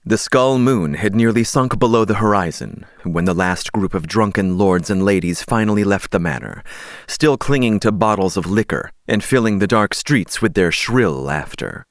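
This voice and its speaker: Low-voiced male audiobook narrator, dry sound. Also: lively intonation, moderate pace, no echo. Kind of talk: scripted fantasy story narration.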